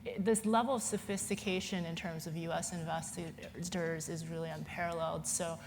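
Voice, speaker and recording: A woman from Hong Kong talks on a conference panel in a chatty way, with many "you know"s and restarts. Her voice sits fairly low and stays flat. The sound carries a long hall reverb over a faint hiss. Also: normal speed.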